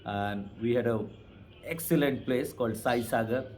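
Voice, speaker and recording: A man's voice: medium pitch, moderate pace, a little mellow. An Indian man, a tour driver, plainly recounting a trip route in an interview. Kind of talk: casual interview.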